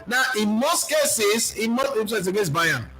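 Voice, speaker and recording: A Nigerian man speaking as a football pundit, answering viewer questions in an animated, preachy way and mixing in pidgin. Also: sits high, a little slow, soft background music. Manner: animated, preachy, lists players, mixes in pidgin.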